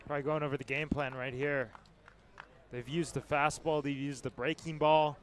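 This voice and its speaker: Slightly high-voiced male baseball color commentator. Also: level tone, moderate pace, a little hiss or hum. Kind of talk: live sports analysis.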